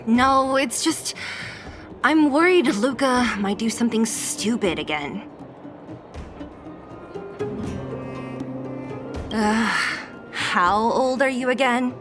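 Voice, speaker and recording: Casting: dry, reluctant young woman fighter for a game, slightly high, unhurried, music behind. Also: animated. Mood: reluctant, exasperated. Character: reserved, exasperated young female underground resistance fighter. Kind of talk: video game voice acting.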